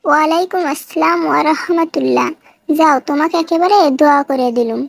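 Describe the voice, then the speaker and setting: A woman's voice: high voice, brisk, vocal fry, dry recording. A woman voicing a haughty, bullying young daughter of a village chairman in a comic cartoon, with a northern Bangladeshi accent and a domineering manner. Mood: mock innocent. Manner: scolding, domineering, threatening.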